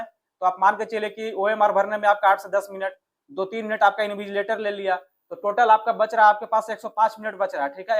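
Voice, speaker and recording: A man with a Bihar accent coaching informally for an exam, addressing listeners familiarly and often answering his own questions. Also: high-pitched. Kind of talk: informal exam strategy lesson.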